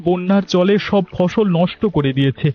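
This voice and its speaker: A man's voice: sits high, moderate pace, natural voice. Man acting stern elder brother, dull audio. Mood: firm, discontented. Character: scheming, stern elder brother, a village farmer. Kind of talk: Bengali cartoon story dubbing. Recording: dull lo-fi audio, music bed.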